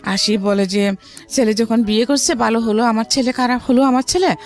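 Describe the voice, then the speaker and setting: A woman's voice: a little high, steady tone, moderate pace, soft background music. A woman with a Bangladeshi accent telling her personal story as an interview guest, often relaying what others said.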